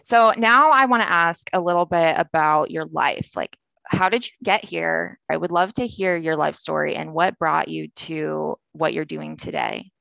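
A woman hosts a remote interview, affirming and encouraging her guest. Her voice is low and gravelly with fry, and the sound is dry and close. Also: normal speed, fairly bright tone, no echo, muffled recording. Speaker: podcast host. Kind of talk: casual interview chat. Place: home in Texas. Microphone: remote video call.

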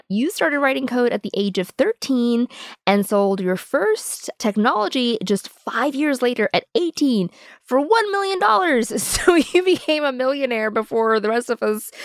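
A woman's voice: on the high side, gravelly, no echo. Woman hosting a podcast, dry close sound. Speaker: podcast host. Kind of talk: conversational interview with scripted intro.

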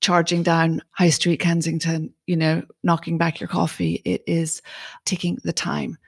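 A woman's voice: low-pitched, flat delivery, unhurried, gravelly, husky, no room sound, clean studio sound. A woman interviewer hosting, asking questions and adding effusive interjections. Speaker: interviewer, host.

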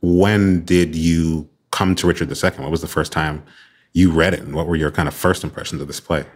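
A man's voice: rumbling low, steady tone, brisk. A man hosting an interview in a conversational style. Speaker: interviewer and host.

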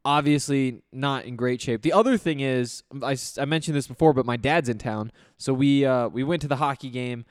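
A young man, slightly hoarse after losing his voice, hosting a casual solo sports podcast and rambling with lots of fillers. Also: medium pitch, moderate pace, hardly any pauses, dry recording, studio quality. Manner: rambling, full of fillers and restarts.

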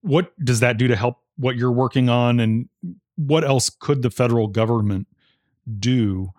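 A man's voice: mid-range voice, a little slow, a little mellow, dry recording. Male interviewer, close dry sound. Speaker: interview host. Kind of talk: prepared interview questions.

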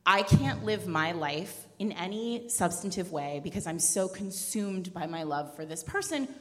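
A woman's voice: lowish voice, animated, measured pace. Gushing woman in an echoey hall. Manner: gushing, emphatic, chatty. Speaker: romance podcast co-host. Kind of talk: casual book chat at a live event. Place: live event room with audience.

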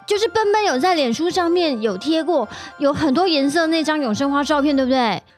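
A Taiwanese-accented woman chats in a high, animated voice over faint music. She asks questions casually and with curiosity, teasing a little as a podcast interviewer. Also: a little fast. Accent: Taiwanese Mandarin. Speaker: guest podcaster asking questions.

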